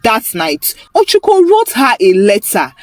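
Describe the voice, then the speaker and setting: Animated Nigerian woman storyteller. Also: mid-range voice, a little slow, no room sound. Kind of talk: dramatic scripted story narration.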